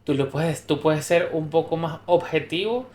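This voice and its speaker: A man's voice: a little high, moderate pace, darkish tone, a little hiss or hum. A man co-hosting a podcast, talking casually and thoughtfully, explaining ideas through examples and restarts. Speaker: podcast co-host. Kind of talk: casual conversational podcast chat. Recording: roomy echo.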